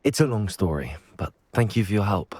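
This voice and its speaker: An actor playing a polite, curious young student adventurer in a video game. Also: male voice, lowish voice, expressive, fairly quick, a little mellow, no echo. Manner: courteous, inquisitive. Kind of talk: video game character dialogue.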